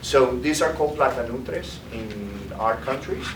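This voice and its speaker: A man's voice: mid-range voice, a little slow. A man giving a live product demo as a sales presenter, often tagging his points with "okay?". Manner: tags lines with "okay?". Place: food trade demo room. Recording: echoey room, mic far off, noisy.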